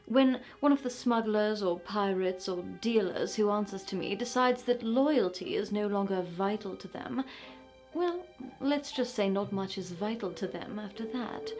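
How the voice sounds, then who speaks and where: A woman playing a mockingly polite smuggler boss villainess who taunts a captive with deliberate, condescending menace in a fantasy roleplay. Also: mid-range voice, darkish tone, faint music behind, natural voice. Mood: veiled threat. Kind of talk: point-of-view fantasy roleplay.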